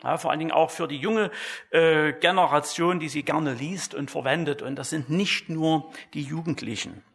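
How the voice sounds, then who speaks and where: A man giving an explanatory church talk in a deliberate, didactic way, often tagging his points with a short "ja". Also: on the high side, gravelly, slightly rough. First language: German. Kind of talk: explanatory church lecture on Bible translation.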